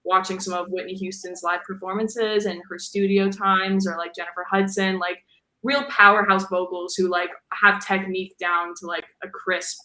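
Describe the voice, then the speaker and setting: A young woman guest talks casually about singing, her voice low for a woman yet bright and clear. She rambles a little and fills gaps with "like." The room around her is echoey. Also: little pitch movement, normal speed. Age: young adult. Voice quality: deep for a woman. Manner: chatty, fills with "like" and "um", laughs easily. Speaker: guest singer. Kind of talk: casual interview chat.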